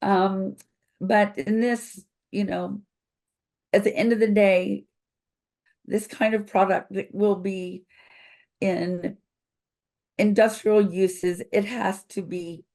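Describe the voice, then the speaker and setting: An older woman, a company founder, speaking slowly and thoughtfully over a video call with a froggy voice from recent illness, pausing often with fillers. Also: medium pitch, fairly even intonation, darkish tone. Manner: slow, thoughtful, many pauses and fillers. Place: home office with bookshelves.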